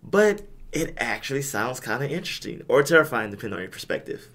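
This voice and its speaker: A hoarse young man hosts a casual podcast, his voice raspy and full of gravelly fry after losing it. He keeps the chat easygoing and pokes fun at himself. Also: mid-range voice, very animated, quick pace, a bit bright. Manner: laid-back, self-deprecating banter. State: hoarse, lost voice. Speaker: podcast host.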